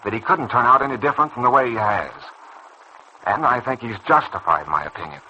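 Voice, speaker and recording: A man's voice: medium pitch, expressive, a little fast, sharp tone. A man acting in a vintage radio soap as a stubborn, judgmental young doctor, insistent and defensive. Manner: insistent, earnest, defensive delivery. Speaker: radio serial actor. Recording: dull, noisy old radio recording.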